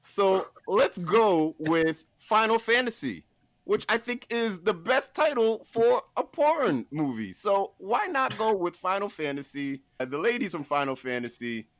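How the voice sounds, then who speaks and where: Emphatic, playful man, slightly high voice. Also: very animated, a little slow, fairly bright tone, no room sound. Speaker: podcast guest. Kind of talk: casual comedic list pitch.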